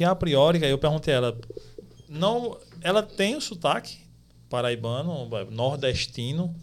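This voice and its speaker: A man, co-hosting a podcast in a relaxed, slangy manner. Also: medium pitch, gravelly, slight background noise. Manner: relaxed, slangy, quick interjections. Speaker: podcast co-host. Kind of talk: casual podcast chat and studio plug.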